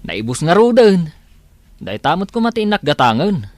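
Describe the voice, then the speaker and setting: A man's voice: high voice, big pitch swings, normal speed, no echo. A man with an Ilocano accent playing a reluctant, grumbling village shopkeeper in a comedy drama.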